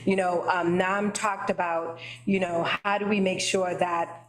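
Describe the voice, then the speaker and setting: A woman's voice: on the low side, little pitch movement, a little slow, roomy sound, slight background noise, poor audio. A woman, a library trustee whose first language is Haitian Creole, speaking at a committee meeting around a table and thinking aloud, with many tag questions. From United States.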